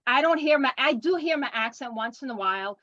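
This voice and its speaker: Woman in her sixties with a French Canadian accent, fairly high voice, dry lo-fi call audio, chatty and rambling. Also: lively intonation, a little fast, sharp tone, no room sound. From Quebec, Canada. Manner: chatty, rambling, with frequent ums. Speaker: guest artist. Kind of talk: casual interview chat. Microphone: video call. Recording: dull lo-fi video call audio.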